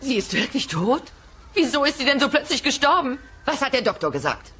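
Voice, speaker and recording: A woman's voice: sits high, big pitch swings, a little fast, a bit bright, slight background noise. A woman playing an exasperated, no-nonsense dinosaur wife and mother in a German-dubbed comedy audio play. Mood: appalled. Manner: stern, indignant.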